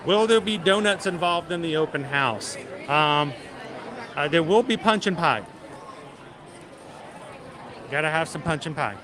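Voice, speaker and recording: A man with a slightly raised voice hosts a relaxed livestream, joking with his viewers and often tripping over and repeating words. He is outdoors in a busy theme park on a handheld mic. Crowds chatter clearly all around him. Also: fairly high pitch, a little slow, pauses often. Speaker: livestream host. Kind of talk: casual live-stream chat with viewers.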